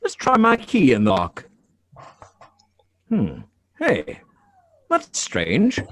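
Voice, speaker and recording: A man's voice: medium pitch, wildly swooping pitch, drawn-out words, a bit bright, exaggerated voice. A man reading the part of a puzzled, plain-spoken middle-aged policeman and father in a radio play, in a folksy manner. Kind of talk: live radio drama script reading.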